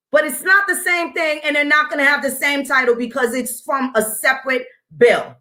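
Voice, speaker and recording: A woman with a high, sharp, bright voice talks straight at her audience in a blunt, swearing vlog rant. She mixes combative commentary with reading official text aloud. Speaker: vlogger.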